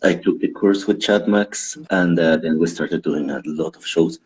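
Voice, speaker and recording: Deep low voiced guy from guatemala, comedian rambling about his act, laughs a lot. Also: normal speed, a little mellow. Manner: rambling, self-deprecating, laughs often. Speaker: stand-up comedian, guest. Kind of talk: casual interview chat.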